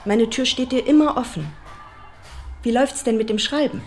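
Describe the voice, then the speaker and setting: Actress as a reassuring counsellor over faint music. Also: female voice, fairly high pitch, a little mellow, close to an everyday voice. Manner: reassuring, measured. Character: encouraging, soothing school counsellor. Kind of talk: radio drama acting.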